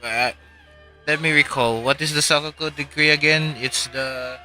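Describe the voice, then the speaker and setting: A man's voice: on the high side, steady tone, moderate pace, pushed and bright, faint music behind. A man livestreaming a game, giving casual commentary and short reactions as he plays. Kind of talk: casual live commentary while playing.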